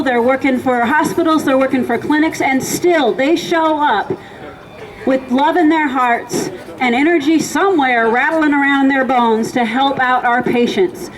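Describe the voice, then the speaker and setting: A woman's voice: sits high, poor audio. A woman from a volunteer medical group speaks at an outdoor rally. She is emphatic and jokes with the crowd. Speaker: volunteer clinic organizer. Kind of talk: rally speech.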